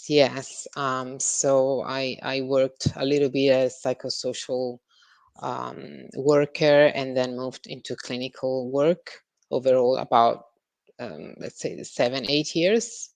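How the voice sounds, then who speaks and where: A woman's voice: rumbling low, steady tone, slow pace, gravelly, a little mellow, dry recording. A woman, answering interview questions as a psychologist, hesitant with many fillers. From Italy. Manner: hesitant, many ums and uhs. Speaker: guest psychologist.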